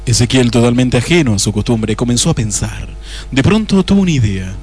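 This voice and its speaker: An actor playing the wry, deadpan narrator of a comic detective radio play. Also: male voice, mid-range voice, brisk, slight background noise, lightly acted. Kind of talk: scripted comic noir narration.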